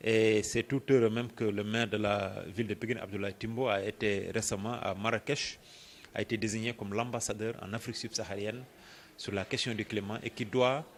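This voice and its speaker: A man with a Senegalese accent gives an explanatory interview answer as a project coordinator, phrasing things deliberately and often hesitating or repeating words. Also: lowish voice, flat delivery.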